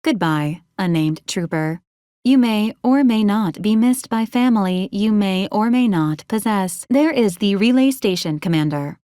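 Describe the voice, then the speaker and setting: A woman voicing a polite, literal female robot assistant in a video game, speaking in formal, clipped, deadpan announcements. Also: medium pitch, a little slow, no echo, studio quality, lightly acted. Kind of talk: scripted video game character lines.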